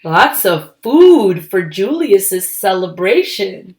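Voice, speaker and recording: A woman reading a storybook aloud to children, expressive and emphatic, adding her own asides between the lines. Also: medium pitch, takes it slowly. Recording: echoey, roomy sound.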